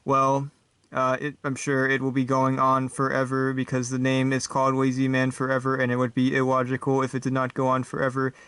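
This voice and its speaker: A man voicing a literal-minded, resigned robot sidekick in a stiff, deadpan way for a comedy talk show. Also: mid-range voice, clean tone, far from the mic, close to an everyday voice. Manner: deadpan, stiff, slow, avoids contractions.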